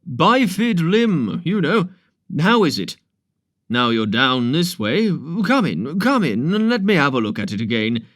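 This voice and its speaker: A man reading aloud, voicing a brisk, bossy village doctor who talks in a loud, brusque, blustering way. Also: on the high side, very animated, no echo, clean studio sound.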